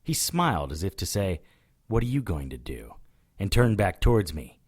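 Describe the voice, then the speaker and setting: An actor playing a tough, wry investigator who narrates his own adventures in a deadpan, hardboiled audio drama style. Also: low voice, moderate pace, husky, exaggerated voice. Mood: hardboiled narrating.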